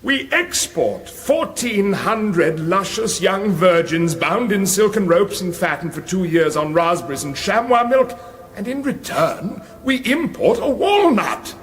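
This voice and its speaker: A British actor playing an alarmed, bombastic royal commander in a radio comedy, declamatory and exasperated. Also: male voice, high voice, sing-song, measured pace, fairly bright tone, exaggerated voice. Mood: incredulous, exasperated. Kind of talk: British radio comedy fantasy parody acting.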